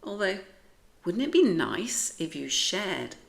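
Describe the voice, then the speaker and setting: Storybook mum cat read by a woman, British, brisk, chivvying, reassuring, unhurried delivery. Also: lowish voice, big pitch swings, creaky, full character voice. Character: brisk, cheerful mother cat, kindly but firm.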